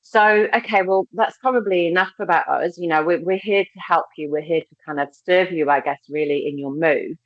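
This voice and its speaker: A woman with a low voice and a slight English accent hosts a webinar from her laptop, chatty and friendly, with frequent ums and restarts. The video-call sound is dry and a little dull. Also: fairly quick, few pauses, dry recording. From England. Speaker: recruitment team head, webinar host. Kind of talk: casual webinar presentation. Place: holiday house by the beach.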